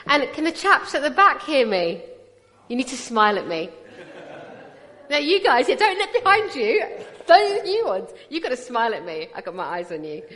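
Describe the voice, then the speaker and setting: Woman in her 40s with a British accent and a fairly high voice, giving an interactive church talk into a PA mic in a big echoing hall. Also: big pitch swings, normal speed, a bit bright. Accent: British English. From Punjab, India. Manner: chatty, teasing, draws the audience in. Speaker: guest speaker at a church. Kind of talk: personal testimony sermon. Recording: long reverb of a large hall.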